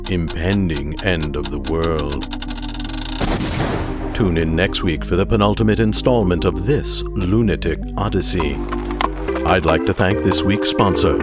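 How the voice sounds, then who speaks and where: An actor playing a sardonic, melodramatic narrator and pitchman of an old-time radio serial, arch and grandiose. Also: a little slow, over loud music. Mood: wry, ominous. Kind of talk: 1930s radio announcing.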